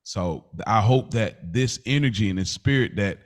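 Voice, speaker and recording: A man's voice: on the low side, animated, moderate pace. A man co-hosting a podcast, chatting casually in an earnest, slangy way and repeating phrases for emphasis. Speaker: podcast co-host. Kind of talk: casual, heartfelt podcast chat.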